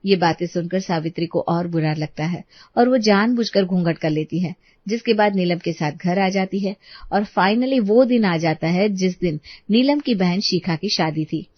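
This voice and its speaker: A woman's voice: lowish voice, moderate pace, dry recording, muffled recording. A woman storyteller reading a scripted moral tale as narration.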